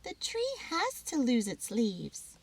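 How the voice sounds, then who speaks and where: A woman voicing a gentle mother squirrel in a storybook, explaining things patiently. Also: a little high, wildly swooping pitch, slow, husky, heavily acted. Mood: gentle, reassuring.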